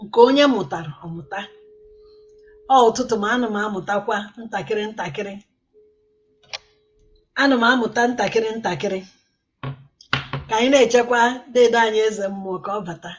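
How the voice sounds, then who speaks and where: A Nigerian woman with an Igbo accent hosting a live stream, unhurried and apologetic, coaxing viewers to join her. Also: medium pitch, highs cut off. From Igbo land, southeastern Nigeria. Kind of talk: live-stream chat, mixing Igbo and English.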